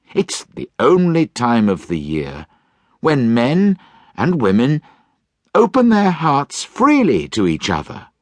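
A man voicing a cheerful, kind young man in a storybook reading, with a warm, pleading manner. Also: fairly high pitch, no room sound, pitched above the performer's own voice, heavily acted.

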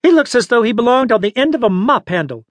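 A man's voice: extremely high pitch, sing-song, moderate pace, dry recording, voice pushed up, exaggerated voice. A man voicing a bossy, indignant little old lady in a storybook, with a tart, scolding manner. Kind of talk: storybook character voice.

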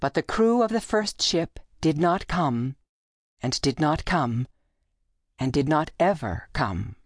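A woman narrating a literary audiobook with measured, deliberate phrasing, recorded in a studio. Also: low-pitched, lively intonation, dry recording. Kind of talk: scripted literary storytelling narration.

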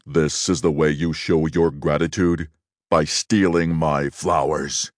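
A man's voice: a bass voice, steady tone, slow, no room sound, studio quality, voice pushed down. A man growling as a furious storybook beast. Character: furious monstrous male beast, menacing. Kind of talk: storybook reading.

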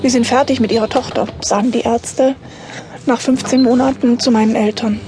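A woman in her thirties reads her own life story as a scripted audiobook, matter-of-fact and in deliberate short phrases. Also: on the high side, fairly even intonation. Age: 30s. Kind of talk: scripted autobiographical audiobook narration. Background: music.

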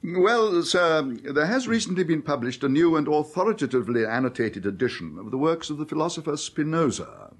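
An actor playing an imperturbable, deferential, erudite valet with refined English speech, formal and courteous, in a radio comedy. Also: male voice, fairly high pitch, expressive, brisk, hardly any pauses, slightly rough. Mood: deferential, grateful.

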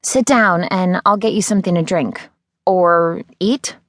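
An earnest, warm-hearted young heroine, acted by a woman narrator in an audiobook. The pitch rises above plain narration, and the recording is close and dry. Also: unhurried, no echo, close to an everyday voice. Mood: welcoming. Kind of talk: audiobook character dialogue.

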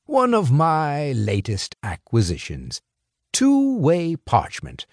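A man doing a slow, scheming crime boss. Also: a little high, wildly swooping pitch, dry recording, clean studio sound, pitched above the performer's own voice, exaggerated voice. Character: smooth, scheming older male crime boss.

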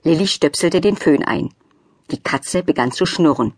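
A woman narrates a children's audiobook from a script. Her voice is fairly low and slightly husky, recorded dry and close with no room sound. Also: expressive, moderate pace, a little mellow, no echo.

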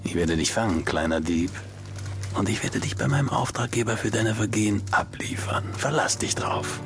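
A cold, mocking assassin in a fantasy radio drama, talking slow and menacing. His voice sits fairly low yet moves with lively swings of pitch. Music runs under his lines. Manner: slow, sneering and threatening. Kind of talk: German radio drama acting.

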